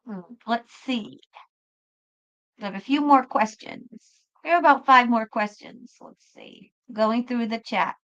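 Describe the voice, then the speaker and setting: A woman's voice: fairly high pitch, measured pace, a bit bright. A woman hosting a live tarot reading, chatting casually with viewers in an emphatic way and often repeating her phrases. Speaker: host and tarot reader. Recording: dull lo-fi live stream audio.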